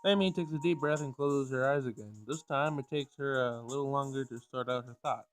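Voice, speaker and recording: A man's voice: mid-range voice, a little fast, clear, resonant tone. A man reading a visual novel aloud as he plays, sometimes stumbling over words and rereading lines. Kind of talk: Let's Play read-aloud of game text.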